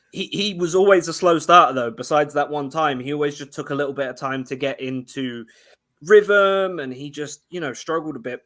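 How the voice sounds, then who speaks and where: A young man from England, a guest analyst, breaking down football in casual chat, talking fast with frequent restarts. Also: mid-range voice, fairly bright tone.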